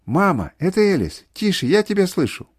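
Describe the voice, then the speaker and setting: Мужчина озвучивает отчаянную дочь, которая резкими, срочными приказами командует семьёй в аудиорассказе. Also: male voice, high voice, very animated, moderate pace, slightly rough, dry recording, studio quality, pitched above the performer's own voice, heavily acted. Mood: urgent commanding. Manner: urgent, clipped orders. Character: commanding, desperate daughter rallying her family.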